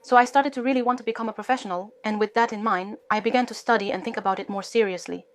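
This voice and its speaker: A woman's voice: a little high, fairly even intonation, fast talker. A woman from North Korea telling her life story in an earnest, reflective way during a casual chat.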